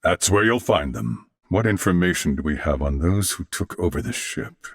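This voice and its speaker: Anziano guerriero erudito dalla voce grave e graffiata. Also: male voice, low-pitched, animated, no room sound, clean studio sound. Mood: composed, commanding. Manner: formal, eloquent, measured diction. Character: courteous older male alien warrior-scholar, grandfather, formal and curious. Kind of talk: video game character voice lines.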